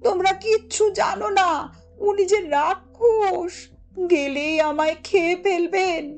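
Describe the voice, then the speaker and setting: A woman's voice: very high-pitched, steady tone, slow pace, darkish tone, pitched above the performer's own voice, exaggerated voice. A woman voicing a frightened, earnest young schoolboy in a storybook reading, pleading and insistent as he begs to be believed. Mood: panicked, pleading. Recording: music under the voice.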